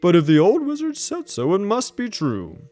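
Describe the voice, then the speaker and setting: A man acts out a good knight from a children's storybook. He sounds kindly, proper and a little gullible, with a raised pitch and hearty, swooping phrases. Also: wildly swooping pitch, moderate pace, darkish tone, no echo, soft background music. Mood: puzzled, hearty.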